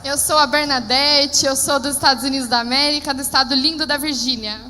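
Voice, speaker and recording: A woman interpreting live on stage, lively and quick. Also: high-pitched, slight background noise. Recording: reverberant hall, faint ambience.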